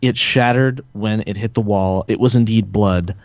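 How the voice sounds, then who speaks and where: A man's voice: fairly low pitch, moderate pace, darkish tone, no room sound. Man reading a story aloud, dry lo-fi mic. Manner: stumbles and laughs while reading. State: sick. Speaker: narrator of a group fan-fiction reading. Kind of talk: comedic read-aloud narration. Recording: dry, dull lo-fi home mic.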